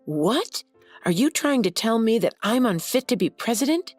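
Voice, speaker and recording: A woman's voice: on the high side, very animated, moderate pace, no room sound, faint music behind, voice pushed up. A woman voicing an arrogant, entitled brother who talks in a haughty, demanding way in a narrated story.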